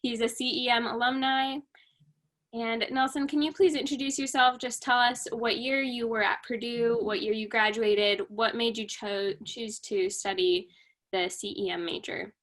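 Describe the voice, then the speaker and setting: A woman's voice: on the high side, steady tone, moderate pace, fairly bright tone. A woman hosting an interview over a video call, asking friendly, upbeat questions.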